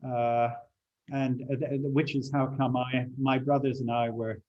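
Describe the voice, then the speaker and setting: A man in his seventies who grew up in China, recalling his life in relaxed talk with many fillers and restarts over a video call. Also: mid-range voice, unhurried, lo-fi sound. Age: 70s. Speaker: guest speaker. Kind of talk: relaxed conversational recollection.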